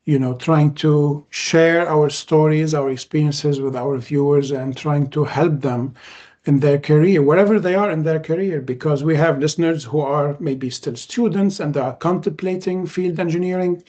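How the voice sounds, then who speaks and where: A man from Lebanon whose first language is Arabic, hosting a podcast in relaxed conversation. He speaks in measured phrases with frequent "uh" pauses. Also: fairly high pitch. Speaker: podcast host.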